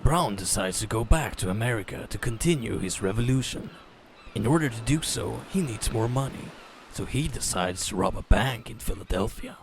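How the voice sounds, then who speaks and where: A man's voice: lowish voice, lively intonation, moderate pace, slight background noise. Man giving a dramatic story narration.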